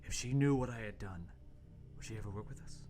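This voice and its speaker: A man's voice: on the low side, fast talker, mellow, a little hiss or hum, lightly acted. A man playing an earnest young intern, narrating an amateur radio play with overwrought seriousness. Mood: solemn narration. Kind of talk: amateur radio-play table read, first-person narration.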